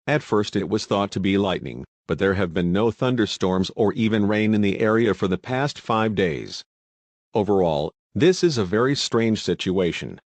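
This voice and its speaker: A man acting as a news anchor, delivering a scripted broadcast in a measured, earnest way. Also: fairly low pitch, no room sound.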